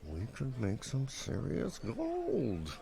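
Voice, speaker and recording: An excitable bard, swinging pitch. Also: male voice, slow, creaky, exaggerated voice. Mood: scheming enthusiasm. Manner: excitable, hammy, stammers when put on the spot. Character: eager, boastful young bard who tends a tavern. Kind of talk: comedy radio play acting.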